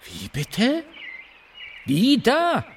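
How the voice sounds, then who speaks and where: Wildly swooping, foppish young prince. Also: male voice, very high voice, huge pitch swings, very slow, distant mic, a little hiss or hum, heavily acted. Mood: smug. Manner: singsong, affected. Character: vain, foppish young fairy-tale prince seeking a bride. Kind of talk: children's radio play acting.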